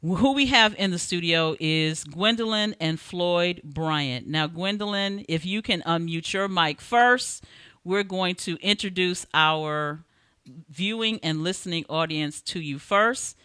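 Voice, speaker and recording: A woman hosting a live talk show in a chatty, informal way, giving casual shout-outs and introductions. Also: fairly low pitch, unhurried, clean tone, dry recording, clean studio sound.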